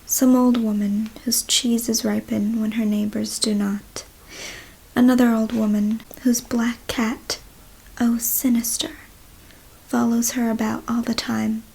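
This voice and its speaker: A woman reading a story as ASMR in a soft, breathy whisper, hushed and deliberate. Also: a little high, rough recording. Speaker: ASMR reader.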